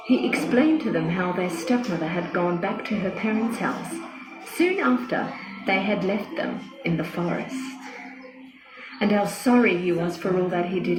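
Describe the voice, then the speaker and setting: A woman narrating a scripted fairy tale for children in an expressive, dramatic storytelling manner. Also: mid-range voice. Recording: echoey, music under the voice.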